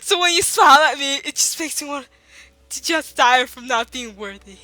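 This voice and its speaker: A woman reading fan fiction aloud in a giggly, animated way. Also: sits high, normal speed, rough recording. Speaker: reader. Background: faint classical music.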